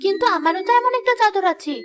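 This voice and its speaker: Lady doing a bold clever little cartoon girl, super high voice, music under it. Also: expressive, fast talker. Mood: curious, confident. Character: bold, clever young girl living in a treehouse. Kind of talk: animated fairy-tale voice acting. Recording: music behind the voice. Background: background music.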